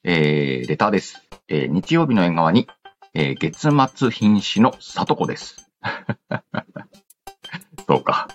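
Deep-voiced man hosting a chatty show. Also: big pitch swings, slow, long pauses. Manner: reads announcements aloud with playful asides. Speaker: show host reading listener letters. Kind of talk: casual chatty radio-style talk. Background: background music.

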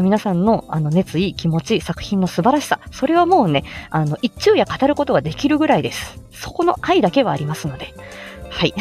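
A woman hosts a casual livestream with a very animated, swooping delivery at an average female pitch. She is chatty and encouraging as she gives beginner tips. Soft music plays behind her. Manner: chatty, encouraging, often chuckling. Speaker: livestream host, amateur voice actor.

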